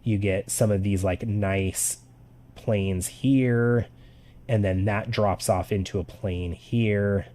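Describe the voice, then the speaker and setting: A man giving casual art critique on a live stream, talking loosely with lots of fillers, his voice getting raspy. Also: on the low side, steady tone, slow pace, warm tone. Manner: loose and chatty, with many "like" fillers. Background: faint background music.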